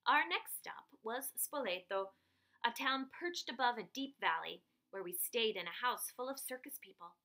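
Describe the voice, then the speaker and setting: A woman reading a picture book aloud to children like a teacher, with expressive, dramatic emphasis on key phrases. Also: fairly high pitch, moderate pace.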